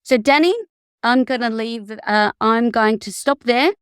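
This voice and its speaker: Aussie woman hosting a webinar on a video call, slow and halting, dry sound. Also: a little high, clean tone, no room sound. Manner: halting, with self-corrections. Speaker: webinar host. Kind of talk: webinar introduction.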